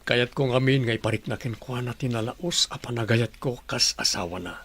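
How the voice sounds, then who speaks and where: A man's voice: mid-range voice, a little fast, a bit bright, husky. A man playing a devoted, dutiful doctor husband in an Ilocano radio drama, tender and earnest, with an Ilocano accent.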